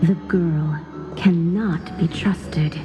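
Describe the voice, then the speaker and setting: Game cutscene: disembodied voice beyond a door, low, drawn-out, commanding; music under. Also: female voice, fairly even intonation. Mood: ominous. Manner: slow, solemn, commanding.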